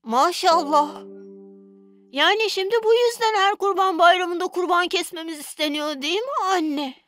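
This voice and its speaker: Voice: very high-pitched, moderate pace, a bit bright, soft background music. An actor voicing a caring, devout, cheerful young older brother in a children's cartoon dub. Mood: caring, earnest.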